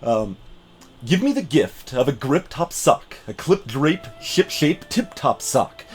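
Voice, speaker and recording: A man live-streaming a game with playful, animated delivery, chatting casually and reading the game text aloud. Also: mid-range voice, slow pace. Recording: music behind the voice. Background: game music.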